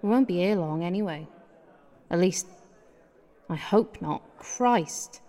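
An actress playing a dry, cynical junior politician in a radio play, wry and blunt. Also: female voice, on the low side, slow pace, mellow, big-room sound. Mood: scornful.

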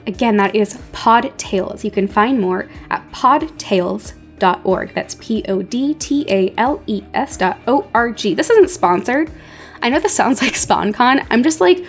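A woman hosting a podcast, reading scripted narration with casual asides and wry, emphatic repetition. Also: on the high side. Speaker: podcast host. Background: music.

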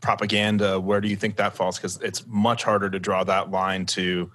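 Male co-host, casual questioner, low monotone with a forceful edge. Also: moderate pace. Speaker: podcast co-host. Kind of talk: casual podcast discussion.

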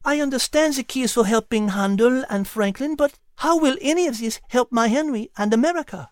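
A woman's voice: fairly high pitch, fairly quick, a little mellow, no room sound, clean studio sound, lightly acted. A woman voicing a curious, sweet young woman.

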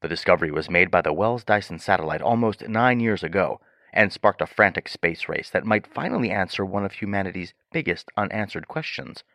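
A serious male news reporter with a low voice and a close, dry sound. He reads in a measured, grave way, as in a scripted sci-fi radio drama bulletin. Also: expressive, a bit bright, dry recording. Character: sober male TV news reporter on space mission.

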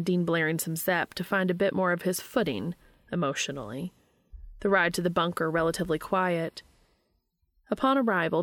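A woman reading a story aloud as narrator, in an expressive scripted storytelling style. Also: on the low side, dry recording. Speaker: fan fiction reader and narrator.

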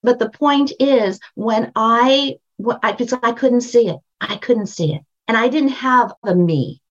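An older woman with a high voice, a guest on a podcast interview, telling stories with emphatic repetition. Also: a little slow, lo-fi sound. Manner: emphatic, storytelling, repeats phrases for stress. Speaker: guest, brand strategist and book coach.

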